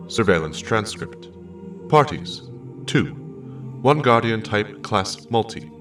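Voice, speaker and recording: A very low, somewhat distant voice with music behind it: a man playing an impassive sci-fi computer. It announces in terse official fragments, with marked swings in pitch. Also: full character voice. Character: impassive encrypted-message machine announcing transcripts and analysis. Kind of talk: scripted sci-fi system announcements.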